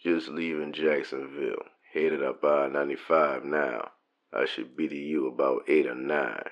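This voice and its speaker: Male actor as cheating smooth talker for audio drama: rumbling bass pitch, creaky, flirtatious slang, muffled recording. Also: steady tone, normal speed. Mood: flirty, smooth. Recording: dull, muffled lo-fi sound.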